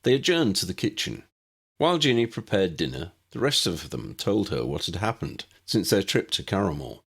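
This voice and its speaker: A man's voice: low-pitched, big pitch swings, normal speed, vocal fry, a bit bright, slightly rough. Expressively intoned male audiobook narrator. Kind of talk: expressive scripted narration of a fantasy story.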